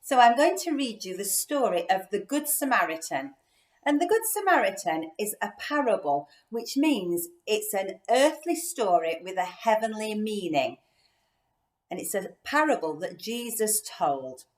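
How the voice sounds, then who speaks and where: An echoey room carries the voice of a woman with a middling pitch. She reads a children's Bible story aloud as a church storyteller, explaining things in simple, clear terms for young listeners. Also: big pitch swings, unhurried. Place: inside a house.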